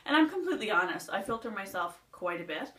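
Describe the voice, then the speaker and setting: A woman's voice: medium pitch, very animated, fast, sharp tone. A young woman from Nova Scotia with a Nova Scotian twang, rambling chattily through a list in a casual beauty vlog. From Nova Scotia, Canada. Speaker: beauty vlogger. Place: indoors at home, seated. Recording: roomy sound from a distant mic.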